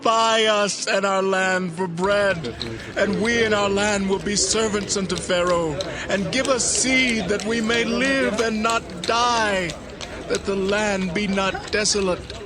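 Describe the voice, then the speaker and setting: Slow, high-voiced desperate Egyptian man, acted. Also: steady tone. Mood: desperate, beseeching. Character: desperate starving Egyptian man begging his lord. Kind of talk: dramatized scripture reading. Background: music.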